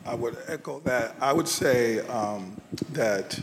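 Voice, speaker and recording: A man from the rural South speaks loudly and earnestly as a panel guest. He often repeats words as he builds his point. His voice carries in a hall with long reverb. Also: medium pitch, lively intonation, slow, slight background noise. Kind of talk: panel discussion.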